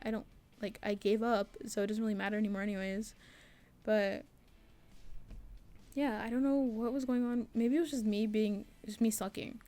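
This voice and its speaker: A woman's voice: mid-range voice, mellow. A young adult woman giving a casual, rambling devlog voice-over in an informal, self-deprecating, giggly way. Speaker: student game developer and artist.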